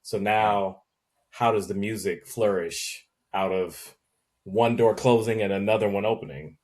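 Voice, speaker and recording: A man hosting a casual interview, relaxed and conversational, reacting with short affirmations. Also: on the low side, a little slow. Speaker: host.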